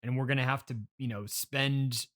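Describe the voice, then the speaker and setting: A man's voice: medium pitch, fairly even intonation, a bit bright. Hesitant man hosting a casual comedy podcast. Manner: hesitant, halting, politely reluctant. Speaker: podcast host. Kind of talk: improvised comedy interview, casual chat.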